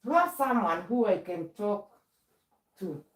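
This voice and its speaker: A middle-aged woman whose first language is Swahili chats on a live stream and keeps doing housework as she talks. She speaks slowly and unhurriedly. Her phone sits away from her in an echoey room, so she sounds distant. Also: mid-range voice, lively intonation. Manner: chatty, moves around doing chores while talking. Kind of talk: casual live chat. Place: home, indoors. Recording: roomy sound, speaker often away from the mic.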